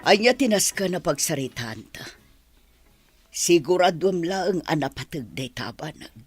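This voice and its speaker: A wounded, guarded woman teacher in an Ilocano-accented radio drama. Her pitch moves expressively, and music sits faintly behind her. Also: expressive, unhurried, husky. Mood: hurt, indignant. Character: hurt, guarded woman teacher rebuffing her estranged husband. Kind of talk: Filipino radio drama acting.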